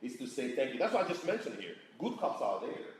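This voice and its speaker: A man from answers on a panel in a halting, animated way, his pitch swinging up and down as he hunts for words. The room is echoey around him. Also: a little hiss or hum. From Congo. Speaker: panelist, business operator. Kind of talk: panel discussion.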